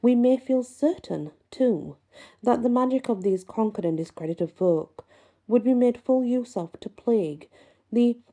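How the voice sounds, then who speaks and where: Very mellow, soft-toned woman reading aloud. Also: fairly high pitch, animated, a little slow. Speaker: host and reader. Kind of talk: reading a folklore book aloud with casual asides.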